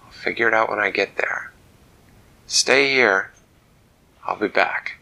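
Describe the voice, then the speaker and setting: A man's voice: fairly low pitch, unhurried, creaky, sharp tone, exaggerated voice. A man voicing a brave young male prince who speaks earnestly, politely and plainly. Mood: determined.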